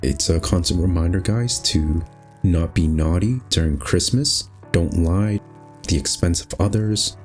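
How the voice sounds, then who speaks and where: A man narrating a visual novel aloud as host, in an expressive, measured storytelling style. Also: sits low, soft background music.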